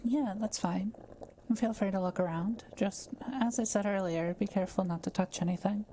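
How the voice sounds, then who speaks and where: A woman playing a kind, patient witch in an intimate audio roleplay, explaining things gently and without hurry to one listener. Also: medium pitch, moderate pace, slight background noise, natural voice. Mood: gentle, reassuring. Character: kind, quiet-living forest witch, patient and scholarly.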